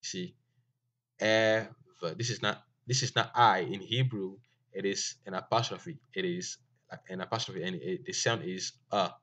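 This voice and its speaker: A man whose first language is Ewe, giving an informal language lesson in a slow, halting way with many fillers and restarts. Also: sits low, fairly even intonation, fairly bright tone. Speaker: teacher. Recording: dull lo-fi recording.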